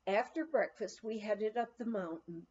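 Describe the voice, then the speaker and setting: A woman narrating a humorous memoir aloud, with expressive storytelling and deliberate phrasing. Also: mid-range voice, lo-fi sound. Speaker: audiobook reader.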